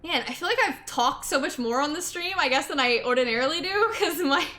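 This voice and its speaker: Bright-voiced young woman streamer, slightly hoarse. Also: high-pitched, lively intonation, fairly quick. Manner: chatty, many filler likes, repeats thanks. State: a bit hoarse, sore throat. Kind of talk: casual chat while gaming.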